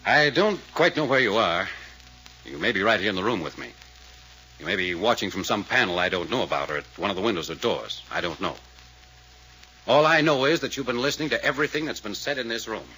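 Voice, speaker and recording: A calm, commanding detective. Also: male voice, fairly low pitch, a little hiss or hum, low-quality recording. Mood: grave, accusing. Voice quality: measured, authoritative. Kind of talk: 1940s radio acting.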